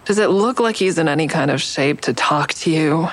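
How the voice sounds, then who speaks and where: A woman's voice: sits low, lively intonation, normal speed. An actress playing an exhausted, protective and combative woman in an audio drama. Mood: defensive. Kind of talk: full-cast audio drama acting.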